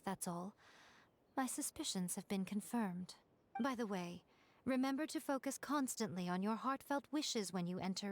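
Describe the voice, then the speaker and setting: An actress voicing a sly, poised shrine priestess with a teasing, arch manner in a video game cutscene. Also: female voice, mid-range voice, natural voice. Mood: earnest.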